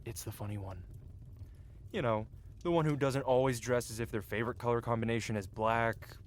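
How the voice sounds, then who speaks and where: A man's voice: medium pitch, a little fast, close to an everyday voice. A man playing a cocky, flirtatious medieval blacksmith in a fantasy ASMR roleplay, with a playful, teasing and theatrical way of talking.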